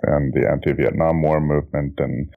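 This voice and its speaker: A middle-aged man from Indonesia, a guest telling his life story in a relaxed, reflective way, often restarting his sentences and chuckling. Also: extremely low pitch, moderate pace, vocal fry, soft background music. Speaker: guest, children's book author and graphic designer. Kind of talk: relaxed interview conversation. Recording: dull lo-fi audio.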